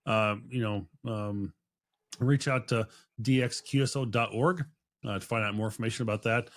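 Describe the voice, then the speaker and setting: A man's voice: lowish voice, fairly even intonation, moderate pace. A man hosting a podcast in casual interview chat, effusive and rambling, often repeating himself. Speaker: podcast host.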